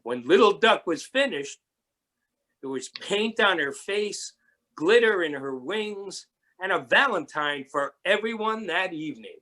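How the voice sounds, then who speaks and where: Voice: mid-range voice, expressive, measured pace, bright, forceful tone. A man narrating a children's storybook aloud as a readers theatre performer. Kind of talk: storybook reading.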